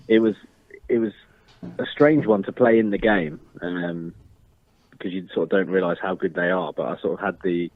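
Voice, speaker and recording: A young man in his twenties, a footballer, answering interview questions in a measured, modest way over the phone, with many ums and restarts. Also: low voice, dry recording. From Northern Ireland. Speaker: football striker, interview guest. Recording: tinny phone line.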